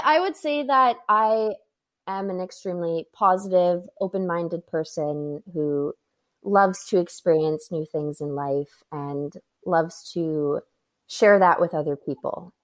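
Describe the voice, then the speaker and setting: A Canadian woman in her twenties, chatting as a guest in an interview, upbeat and quick to laugh. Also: fairly low pitch. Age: 20s. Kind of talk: casual interview chat.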